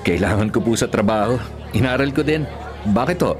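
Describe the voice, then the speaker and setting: Radio drama rival: young village captain male actor, self-assured, polite on the surface, quietly needling. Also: medium pitch, animated, a little fast, over music, crowd noise, close to an everyday voice. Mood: courteous.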